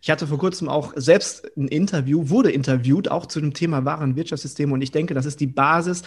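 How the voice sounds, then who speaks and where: A man's voice: fairly high pitch, a little fast, slightly rough. Upbeat German man hosting a podcast. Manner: upbeat, colloquial, uses casual emphasis. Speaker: podcast host. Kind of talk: sponsored hospitality podcast interview.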